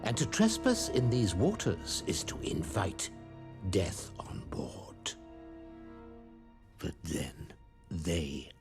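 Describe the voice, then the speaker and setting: An actor playing a grave, portentous storyteller of sea legends in a theatrical video game monologue, speaking deliberately. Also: male voice, sits low, wildly swooping pitch, vocal fry, full character voice. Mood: ominous. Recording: music behind the voice.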